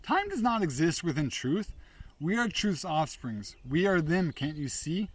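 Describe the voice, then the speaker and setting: A man's voice: on the high side, very animated, measured pace, husky, pitched above the performer's own voice, full character voice. A man playing a brooding, fanatical male drinker in a dramatic monologue, with declamatory delivery full of rhetorical force. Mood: fervent.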